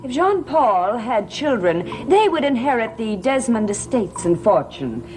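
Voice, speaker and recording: An actress playing a sly, mocking society gossip in a gothic soap opera, arch, needling and condescending. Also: female voice, fairly high pitch, very animated, measured pace, a little mellow, low-quality recording. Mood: needling, falsely concerned. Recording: music behind the dialogue.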